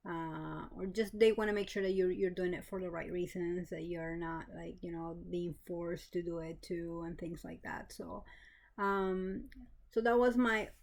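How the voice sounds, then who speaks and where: A woman in her thirties from Peru, casually telling her own story with many pauses and restarts, plain and matter-of-fact. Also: lowish voice, steady tone, creaky, a little mellow. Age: 30s. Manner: hesitant, many fillers and restarts. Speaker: kidney donor. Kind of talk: casual personal vlog.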